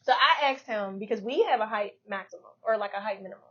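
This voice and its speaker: A young woman in her twenties co-hosts a podcast, telling stories in an unhurried chatty way with plenty of "like" fillers. The recording sounds slightly muffled and lo-fi. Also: medium pitch, bright, forceful tone. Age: 20s. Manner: laid-back storytelling, frequent "like" fillers. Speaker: podcast co-host. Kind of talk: casual chat. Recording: dull lo-fi podcast audio.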